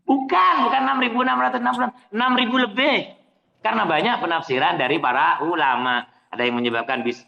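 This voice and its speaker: A man preaching a sermon, questioning his listeners and chanting verses slowly. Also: mid-range voice, pushed and bright, highs cut off. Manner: addresses listeners as Pak, asks the crowd questions. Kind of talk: mosque sermon. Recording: hall reverb, faint noise.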